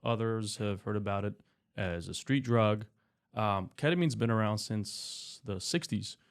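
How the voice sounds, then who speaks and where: A man in his thirties, a doctor and podcast guest, explaining his work in a relaxed, chatty way with many fillers. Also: on the low side, measured pace, creaky, clear, resonant tone. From Spain, raised in California. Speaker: anesthesiologist guest. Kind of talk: casual interview talk.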